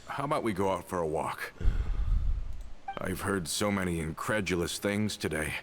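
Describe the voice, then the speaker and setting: A man's voice: deep voice, normal speed, fairly bright tone, a little hiss or hum. An actor voicing a mild-mannered, troubled man in a video game, speaking politely and hesitantly. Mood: unsettled.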